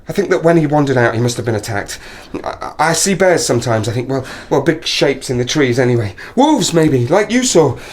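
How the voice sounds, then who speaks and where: A woman acting in an audio drama as a desperate, guilt-ridden lone survivor, halting and fearful, repeating pleas. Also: very deep voice, big pitch swings, darkish tone. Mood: haunted, uneasy. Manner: halting, pleading, fearful repetition. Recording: noisy audio drama soundscape.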